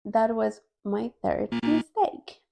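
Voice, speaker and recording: A woman's voice: a little high, slow, vocal fry, dark tone. A young adult woman from Colombia, a native Spanish speaker with a Colombian accent in English, telling her own story casually to viewers as a vlogger. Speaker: language-learning vlogger.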